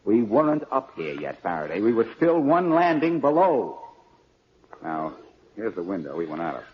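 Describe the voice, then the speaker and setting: An actor playing a quick-witted, breezy amateur detective in a 1940s radio drama. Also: male voice, on the low side, big pitch swings. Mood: matter-of-fact. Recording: muffled, noisy old radio recording.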